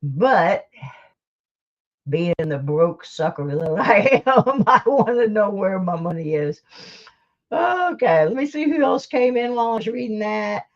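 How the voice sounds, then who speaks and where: A woman's voice: mid-range voice, lively intonation, a little slow. A woman hosting a live stream, chatting casually and rambling to her viewers in a folksy, self-deprecating way, on a dull lo-fi recording. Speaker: livestream host.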